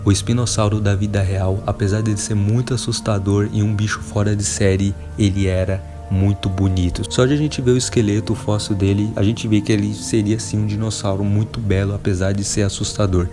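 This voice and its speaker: A man's voice: on the low side, flat delivery, brisk, hardly any pauses, over music. A Brazilian man hosting a casual enthusiast video, talking in an emphatic, informal, wonderstruck way. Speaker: video host.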